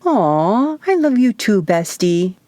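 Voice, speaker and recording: A woman voicing an excitable, flighty ancient female being with a squeaky voice and sassy Valley Girl flippancy in an audiobook. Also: slow, no room sound. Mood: gushing.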